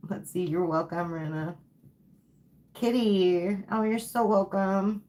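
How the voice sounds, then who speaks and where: A woman's voice: medium pitch, slow pace, a little mellow. A woman giving a live tarot reading to viewers, casual and chatty, tired and saying she is losing her voice. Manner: casual, repeats "okay?" and "like". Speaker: tarot reader.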